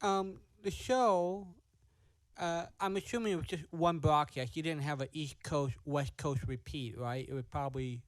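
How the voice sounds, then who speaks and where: A man interviews someone casually over a video call. His voice is clean and resonant, pitched a little high, and recorded close and dry. He words his questions tentatively, reshaping them as he speaks. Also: normal speed, no echo.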